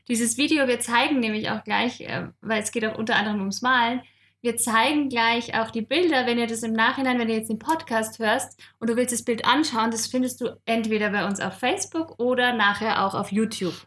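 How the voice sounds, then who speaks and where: A woman co-hosting a podcast, chatty and lively, laughing often as she explains. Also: fairly high pitch, brisk. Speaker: podcast co-host. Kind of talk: casual podcast chat.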